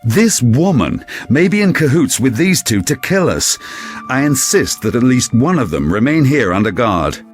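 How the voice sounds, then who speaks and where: A man's voice: mid-range voice, very animated, normal speed, soft background music, close to an everyday voice. A man playing a haughty, suspicious, argumentative ship's officer in an audio drama, pompous and indignant.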